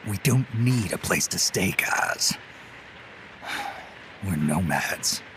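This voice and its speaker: An actor playing a gruff, world-weary male mercenary leader in a video game, speaking tersely and dryly. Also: low voice, animated, slow pace, heavily acted. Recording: game cutscene with clear background noise.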